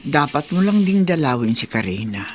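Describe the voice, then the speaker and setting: A man's voice: on the high side, big pitch swings, moderate pace, a little hiss or hum. A man playing an insistent, moralizing parent in a Tagalog radio drama, emphatic and admonishing. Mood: urging, reproachful. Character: insistent, moralizing parent urging a grown son.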